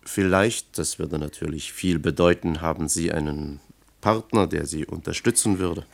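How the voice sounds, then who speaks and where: Radio play casting: earnest bureaucrat and family man, deep, formal, cautious delivery. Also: gravelly. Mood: explaining, conciliatory. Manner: measured, formal, probing. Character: earnest male official, father of three, cautiously discouraging. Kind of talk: German radio play acting.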